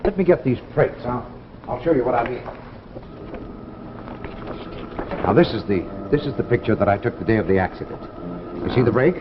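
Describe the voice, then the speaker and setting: An actor playing a grave, insistent doctor, speaking emphatically with dramatic pauses in 1950s radio drama style. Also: male voice, medium pitch, lively intonation, close to an everyday voice. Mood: grave, urgent. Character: grave, insistent male doctor confiding in his friend. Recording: dull, noisy vintage radio recording.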